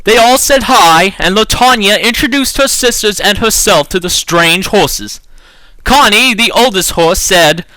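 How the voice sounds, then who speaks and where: Guy reading a kids story all dramatic, high voice, swoops up and down a lot. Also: animated, a little slow, bright, forceful tone, no echo, low-quality recording. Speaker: amateur reader.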